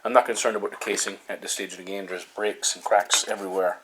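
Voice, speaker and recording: Deep-voiced man, halting casual demonstration. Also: little pitch movement, a bit bright, slight background noise, poor audio. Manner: halting, pausing often mid-sentence. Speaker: hobbyist host of a repair video series.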